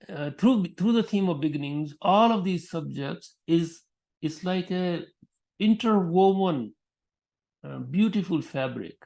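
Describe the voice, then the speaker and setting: A man with a fairly high-pitched voice talks about teaching children through a religious framework. He speaks off the cuff, breaking off mid-phrase and starting again, and he sprinkles Arabic honorifics through his sentences. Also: vocal fry, a little mellow. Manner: halting, with frequent restarts and Arabic honorifics. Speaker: Islamic education speaker. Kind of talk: unscripted talk on teaching.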